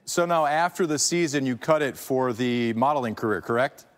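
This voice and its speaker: A man's voice: mid-range voice, normal speed, long reverb tail. A man working as a TV football analyst, joking and teasing in casual chat. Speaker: analyst and former player.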